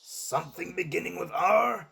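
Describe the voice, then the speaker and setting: A man playing a blunt, bickering, excitable sidekick with a gravelly voice in an amateur audio drama. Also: high voice, normal speed, crowd noise.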